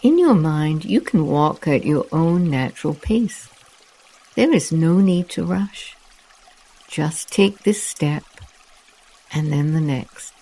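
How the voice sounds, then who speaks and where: This is a meditation guide with a warm, mellow voice who talks very slowly. The delivery is soft and soothing, with long pauses that leave room to breathe, as in guided relaxation narration. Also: medium pitch, animated.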